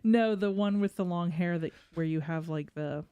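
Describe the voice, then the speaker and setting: Woman just chatting, smooth clear voice, kinda teasing, close dry sound. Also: on the low side, moderate pace, dry recording, highs cut off. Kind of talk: casual chat.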